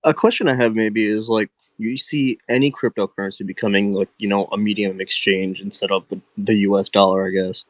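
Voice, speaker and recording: A man's voice: fairly low pitch, fairly even intonation, brisk, few pauses, no echo, rough recording. A man hesitantly asking a question as a caller on a livestream.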